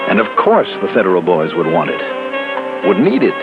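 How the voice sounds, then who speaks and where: Wisecracking American private investigator, hugely varied intonation, theatrical punch, dull vintage radio sound with music behind. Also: male voice, deep voice, huge pitch swings, brisk. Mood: musing, confiding. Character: breezy, wisecracking male freelance insurance investigator. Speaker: lead radio actor. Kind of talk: mid-century American radio detective acting.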